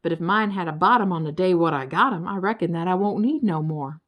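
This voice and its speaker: A woman's voice: on the low side, brisk, natural voice. A woman voicing an easygoing folksy creature, half bird and half turtle, with a drawling rural Southern US accent in a children's storybook. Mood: drawling, contented.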